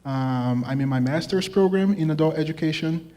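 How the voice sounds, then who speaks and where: A young man from Brazil with a Brazilian Portuguese accent, speaking as a graduate student panelist in relaxed, casual talk with frequent fillers. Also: a little high, normal speed. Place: conference hall at a college. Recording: hall reverb.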